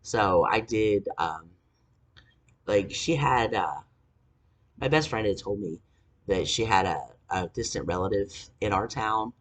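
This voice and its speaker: Man in his forties, low husky voice, halting, full of fillers, swearing angrily. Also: lively intonation. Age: 40s. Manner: halting, many fillers, angry and profane. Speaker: vlogger. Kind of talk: confessional storytelling to camera. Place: indoors, dim room.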